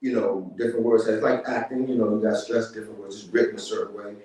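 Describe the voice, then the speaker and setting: A man's voice: on the low side, level tone, fast, a little mellow. A man hosting a casual interview show, easygoing and calling people "man". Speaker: show host. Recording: roomy, mic at a distance.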